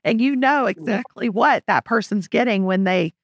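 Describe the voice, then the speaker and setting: A woman's voice: medium pitch, animated, moderate pace, no room sound. A woman co-hosting a podcast sums up the guests' points in casual, affirming talk at a studio mic. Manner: affirming, summarizing. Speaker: podcast co-host.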